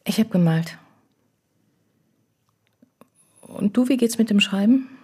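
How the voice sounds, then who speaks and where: An actress playing a troubled, fragile wife and grieving mother, a painter, in a radio crime drama, hushed and hesitant. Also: mid-range voice, a little fast, slightly rough, close to an everyday voice. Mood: subdued.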